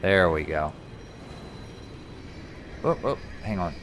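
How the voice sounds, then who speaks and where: A man, a little hoarse, casually commentating a video game and explaining puzzles step by step with jokes. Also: low-pitched, lively intonation, slow pace, a bit bright, slight background noise. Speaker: let's play commentator.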